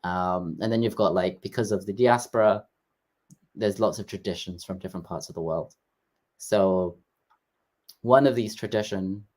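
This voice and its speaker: A man talking as a guest in a casual interview, explaining things in a halting way with frequent restarts. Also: low voice.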